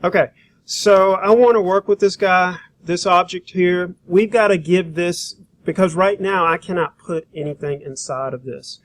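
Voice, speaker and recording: A man teaching a software demonstration, talking informally and thinking aloud as he works through each step. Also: high voice, moderate pace, a little hiss or hum. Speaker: graphic design instructor.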